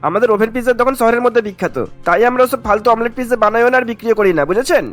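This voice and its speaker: Harried, indignant male shopkeeper for a cartoon; very high pitch, breakneck emphatic delivery. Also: very fast, a bit bright, faint music behind. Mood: scornful. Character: indignant, harried male pizza shop owner on the phone. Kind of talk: cartoon dialogue acting.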